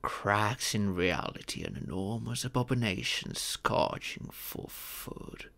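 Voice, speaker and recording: A man's voice: on the low side, lively intonation, slow, creaky, a bit bright, heavily acted. A man voicing an ancient male dragon, a former king and doting but stern father, speaking formally and patiently in an ASMR roleplay. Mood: storytelling, measured. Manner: formal, patient, fond, instructive. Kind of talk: ASMR roleplay, scripted monologue.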